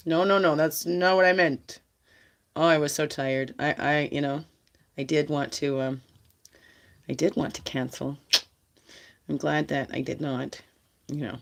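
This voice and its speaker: A woman's voice: low-pitched, steady tone, unhurried, vocal fry, pauses often. A woman vlogger chatting casually on a livestream about spiritual guidance, rambling and laughing, her voice nasal and stuffy from congestion.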